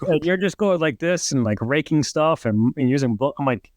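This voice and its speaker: A man's voice: on the high side, lively intonation, moderate pace, a little mellow, no echo, highs cut off. A middle-aged man with a New York accent, a retired fire captain telling rambling stories as a podcast guest and acting out what people said. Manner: rambling, heavy use of "like", acts out quotes. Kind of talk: casual podcast storytelling.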